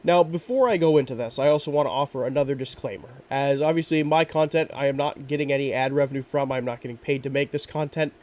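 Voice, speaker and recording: A man's voice: mid-range voice, quick pace, few pauses, a little mellow, distant mic. A man hosting a true crime podcast, sounding congested and stuffy from allergies, talking earnestly with frequent ums and restarts. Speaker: podcast host.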